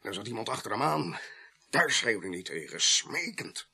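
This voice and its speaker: Gravelly, tense man in his fifties. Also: medium pitch, sing-song, normal speed, sharp tone, full character voice. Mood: shaken, haunted. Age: 50s. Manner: faltering, repeating himself. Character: tipsy, shaken well-to-do man in his fifties under questioning. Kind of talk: radio play acting.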